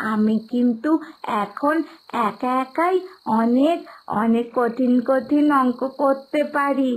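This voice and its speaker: A sweet, obedient little village girl in a cartoon moral tale. The voice is very high and unhurried, with eager childlike phrasing that halts and stretches. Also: rough recording. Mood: proud, earnest. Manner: childlike, halting, drawn-out phrasing. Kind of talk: dramatised cartoon moral story dialogue.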